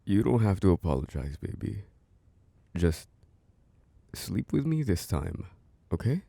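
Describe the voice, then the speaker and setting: Deep, breathy, doting boyfriend voice, acted by a man. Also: sing-song, measured pace, dark tone, dry recording, full character voice. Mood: gently pleading. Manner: tender, coaxing, reassuring, murmured endearments. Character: doting, protective boyfriend soothing an overworked partner to sleep. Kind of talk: intimate ASMR boyfriend roleplay, sleep aid.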